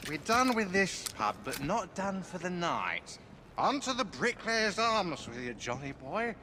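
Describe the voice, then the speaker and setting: High-pitched boisterous drunken pub gambler. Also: male voice, big pitch swings, unhurried, exaggerated voice. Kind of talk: video game cutscene acting. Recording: noisy with loud game sound effects.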